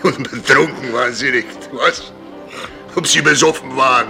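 An actor playing a gruff, folksy old sea captain in a German radio drama, blunt and muttering, with the odd English sailor phrase. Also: male voice, medium pitch, normal speed, big-room sound, music in the background, lightly acted. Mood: probing.

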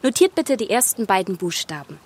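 A woman's voice: mid-range voice, very animated, brisk, a little mellow. A woman playing a delicate, dramatic young fairy-tale maiden in a children's radio play, girlish and plaintive. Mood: reciting.

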